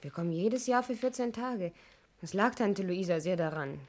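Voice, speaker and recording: An actress in a 1960s German radio play, playing a suspicious, insistent niece with a sceptical, pointed way of questioning. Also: female voice, mid-range voice, expressive, moderate pace, a little hiss or hum, natural voice. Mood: grave, firm. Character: suspicious, insistent adopted niece of a missing aunt.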